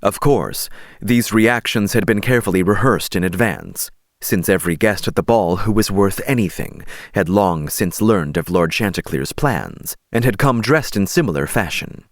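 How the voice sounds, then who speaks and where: A man's voice: fairly low pitch, lively intonation, normal speed, no echo, studio quality. A man narrating an audiobook story in a dramatic, scripted reading style.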